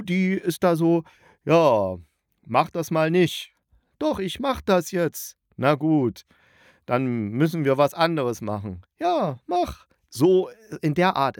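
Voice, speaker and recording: A man's voice: mid-range voice, no echo, studio quality. A man giving a casual spoken review in a chatty, colloquial, opinionated manner. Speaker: audio drama reviewer, host.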